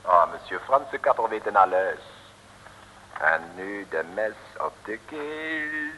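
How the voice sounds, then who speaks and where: An actor playing a theatrical, menacing French barber with a French accent and broken Dutch in an old radio comedy-thriller. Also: male voice, lowish voice, expressive, slow, sharp tone, full character voice. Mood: coolly menacing. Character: flamboyant, menacing French barber, secretly an agent. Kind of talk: 1960s radio serial acting. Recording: reverberant, noisy old radio recording.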